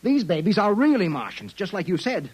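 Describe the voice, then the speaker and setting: An actor playing a nervous, excitable male private eye in a 1950s radio drama. He stammers, and his husky voice is high. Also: fairly quick, no echo, exaggerated voice. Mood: nervous bluster. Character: panicky, fast-talking Earthman private eye.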